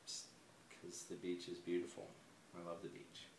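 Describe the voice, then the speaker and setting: A man in his forties being interviewed, talking in a hesitant, soft-spoken way with many fillers and restarts. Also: low voice, monotone, normal speed. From United States. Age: 40s. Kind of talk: personal interview. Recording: echoey room, faint ambient noise.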